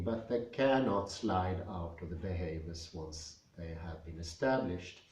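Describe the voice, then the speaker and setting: A man with a Swedish accent giving a spoken lecture, thoughtful and pausing often with fillers. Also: fairly low pitch, dark tone. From Sweden. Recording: echoey room, mic at a distance.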